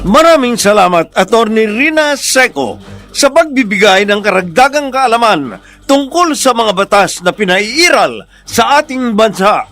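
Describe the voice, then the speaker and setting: A woman announcer presenting a radio drama program in a formal, measured, scripted style. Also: fairly high pitch, lively intonation, fairly bright tone. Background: music.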